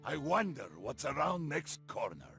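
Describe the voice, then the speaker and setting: Big good-hearted warrior, folksy, broken English, very lively pitch, gravelly, game character, faint music. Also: male voice, normal speed, exaggerated voice. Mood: playful delight. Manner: hearty, simple broken English with dropped articles. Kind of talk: video game voice lines. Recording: game clips over background music.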